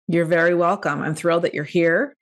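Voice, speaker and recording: A woman's voice: fairly low pitch, brisk. A middle-aged woman hosting an upbeat podcast interview. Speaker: podcast host. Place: indoor room at home or office, video call. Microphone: desk microphone with headset.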